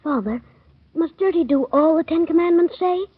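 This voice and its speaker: Casting: earnest little boy, very high and eager, dry, dull 1930s radio sound. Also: normal speed, no echo. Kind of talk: 1930s radio drama acting. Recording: dull old radio broadcast.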